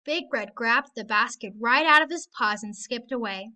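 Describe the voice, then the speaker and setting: Bright-voiced woman reading a storybook. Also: a little high, normal speed. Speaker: storybook reader. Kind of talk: expressive children's read-aloud narration.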